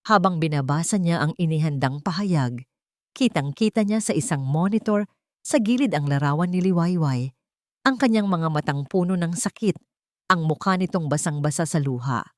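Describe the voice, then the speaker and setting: A woman's voice: deep voice, animated, normal speed, no echo, studio quality. A woman reading a scripted, dramatic love story as its narrator.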